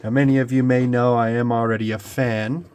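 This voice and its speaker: A man's voice: mid-range voice, fairly quick, background noise. A young man with a cold, sounding congested, chatting casually through an unboxing at his hobby bench with plenty of ums and restarts. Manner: relaxed, rambling, lots of ums and restarts. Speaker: scale model builder. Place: home hobby workbench.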